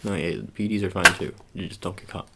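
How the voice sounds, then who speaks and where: A man doing soft-spoken ASMR roleplay as a shady sports agent, talking in a halting, casual, coaxing way and repeating his phrases. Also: deep voice, fairly even intonation, heavy background noise.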